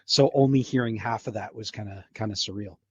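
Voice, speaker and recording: A man's voice: lowish voice, fairly quick, slightly rough, no room sound, highs cut off. A man who works as a voice actor, chatting casually as an interview guest.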